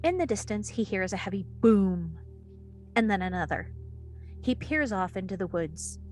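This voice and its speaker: A woman's voice: mid-range voice, lively intonation, moderate pace, darkish tone, faint music behind. A woman reading stage directions as the narrator of a screenplay table read.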